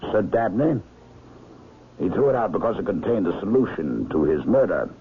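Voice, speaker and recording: Knowing gentleman amateur detective, low and very animated, deliberate storyteller, old radio drama. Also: husky, full character voice. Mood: knowing, storytelling. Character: perceptive older gentleman amateur sleuth, unhurried and knowing. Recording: lo-fi noisy vintage radio broadcast.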